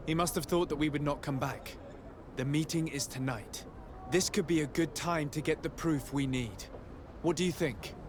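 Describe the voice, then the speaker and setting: Game role, male actor: composed young aristocratic legate, articulate and probing, lightly pitched, with noticeable outdoor background noise. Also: lightly acted. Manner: formal, measured, articulate. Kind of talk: video game cutscene acting. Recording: noisy outdoor ambience behind the voice.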